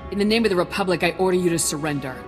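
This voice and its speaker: An actress playing a dutiful young army lieutenant in a video game, firm, earnest and measured. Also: female voice, fairly low pitch, reverberant, close to an everyday voice. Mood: resolute. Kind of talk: scripted video game acting. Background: music.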